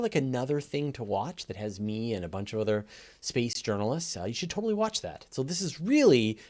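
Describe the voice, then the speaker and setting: A man's voice: mid-range voice, a little mellow. A man hosting a casual live science question and answer session, explaining conversationally and thinking aloud with enthusiasm. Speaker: host answering audience questions.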